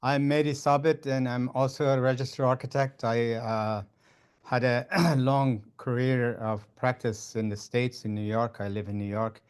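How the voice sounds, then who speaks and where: An older man, a retired architect and professor, introduces himself in an online meeting. He speaks in a measured, plain way. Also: mid-range voice, flat delivery, clear, resonant tone. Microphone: video call.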